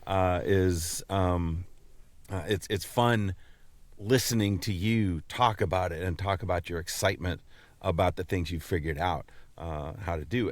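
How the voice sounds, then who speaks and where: A man hosting a podcast in casual chat, talking in a halting way and often repeating words as he explains. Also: deep voice, no echo. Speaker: podcast host.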